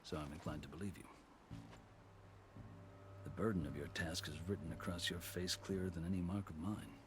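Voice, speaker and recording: An actor playing a proud, courteous veteran warrior turned diplomat in a video game, speaking in formal, measured oratory. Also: male voice, sits low, steady tone, noisy background, natural voice. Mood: earnest, measured. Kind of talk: dramatic video game cutscene acting.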